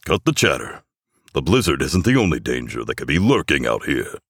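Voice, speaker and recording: A man acts out an old Father Christmas turned gruff squad commander in a comic war story. He growls orders in a deep, creaky voice with forceful, highly animated delivery. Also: a little fast, dry recording, studio quality, full character voice. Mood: stern. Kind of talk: military comedy audio story.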